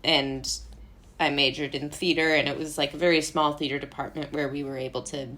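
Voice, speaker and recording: Low-pitched American woman chatting casually. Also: level tone, a little fast, sharp tone. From Brooklyn, New York, United States. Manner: rambling, self-interrupting, conversational. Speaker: interview guest, playwright and actor. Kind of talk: casual chat.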